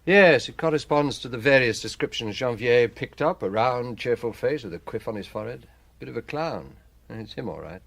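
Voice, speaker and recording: Radio detective lead: male chief inspector, brisk, dry, shrewd, middle pitch with expressive swings. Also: vocal fry. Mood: musing, wry. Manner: wry, brisk, thoughtful. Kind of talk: radio drama acting.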